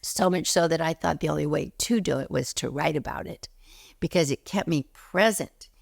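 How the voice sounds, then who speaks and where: A middle-aged woman, a spiritual teacher, speaking in an earnest teaching talk, a little hoarse while recovering from illness, slowing down to stress words. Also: low-pitched, dry recording, clean studio sound. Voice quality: froggy, gravelly.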